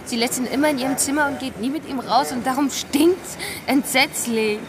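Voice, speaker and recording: A woman's voice: high-pitched, normal speed, background noise, natural voice. An actress playing a gossipy, teasing young city woman in a German radio play.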